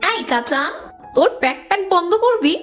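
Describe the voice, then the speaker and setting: A woman voicing an arrogant, quick-tempered ripe mango with a haughty manner in a children's cartoon. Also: extremely high pitch, animated, fairly bright tone, faint music behind. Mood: irritated, scolding. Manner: haughty, scornful, scolding.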